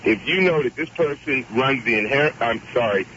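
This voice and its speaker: Male caller, deep voice, earnest and long-winded; muffled radio audio with audible hiss. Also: moderate pace. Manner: earnest, wordy, interrupts with repeated "wait a minute". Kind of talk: radio call-in chat.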